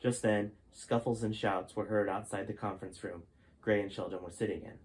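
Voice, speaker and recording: A man's voice: on the low side, steady tone, a little fast. A man narrating his own novel in a plain reading voice, with occasional slips and restarts. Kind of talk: storybook narration.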